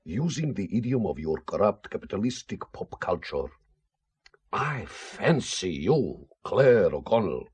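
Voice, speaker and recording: A sardonic KGB colonel in an audio drama, with a low, husky voice. He is formal and deliberate, an old-school gallant who teases as he woos. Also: sing-song, a little mellow, exaggerated voice. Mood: courtly wooing. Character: courtly, sardonic Soviet KGB colonel and former interrogator, wooing.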